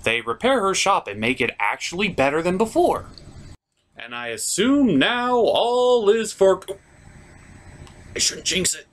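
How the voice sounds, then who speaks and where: A man's voice: high-pitched, very animated, measured pace. A man hosting a cartoon review, giving casual, sarcastic and sometimes crude commentary. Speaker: cartoon review show host.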